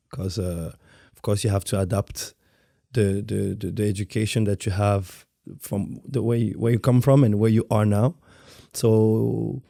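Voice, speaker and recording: French guy in his 30s, low gravelly voice, dark and mellow, halting and repeating words, dry close sound. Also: no room sound. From France. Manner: soft-spoken, halting, repeats words while searching for phrasing. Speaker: guest dancer. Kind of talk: interview conversation.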